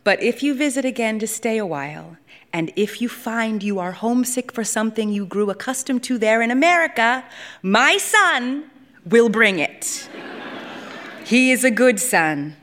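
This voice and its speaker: A woman's voice: a little high, lively intonation, a little slow, a bit bright. A woman voicing a proud, pushy old grandmother in a short story, insistent and dramatic as she scolds and coaxes. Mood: pleading, insistent. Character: proud, pushy old Ethiopian grandmother, doting on her son.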